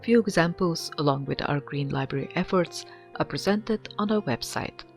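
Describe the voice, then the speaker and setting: A woman from a library giving a calm, formal read presentation. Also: sits low, normal speed. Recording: faint guitar music behind.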